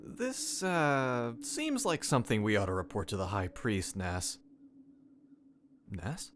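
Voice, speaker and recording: A man's voice: lowish voice, expressive, slow, a bit bright, slight background noise. A man playing a wary, exasperated cleric in an audio drama, speaking hesitantly. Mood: concerned. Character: wary, exasperated male cleric following his comrade.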